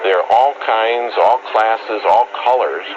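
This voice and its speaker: A man's voice: on the low side, slow pace, crowd noise. A man giving interview remarks as a public official, heard over shortwave radio. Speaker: mayor being interviewed. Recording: dull, very noisy shortwave radio reception.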